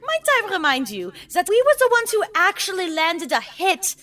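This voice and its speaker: A woman's voice: extremely high pitch, very animated, moderate pace, pushed and bright, far from the mic, heavily acted. A woman playing a stubborn, indignant eighteen-year-old girl adventurer in a tabletop game, arguing sarcastically. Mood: hurt, defiant. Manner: argumentative, sarcastic.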